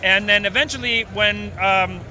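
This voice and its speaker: A man's voice: sits high, fairly even intonation, moderate pace, bright, forceful tone, a little hiss or hum, low-quality recording. A man from Venezuela, a startup co-founder, explaining at length in a casual interview with many fillers. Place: outdoors at a busy conference.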